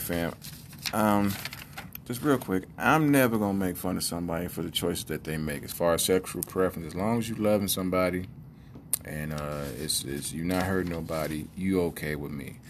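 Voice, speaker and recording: A male comedian telling a story in a casual, rambling way, full of fillers. His voice sits fairly low. His pitch rises and falls with lively swings. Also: a little hiss or hum. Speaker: comedian host.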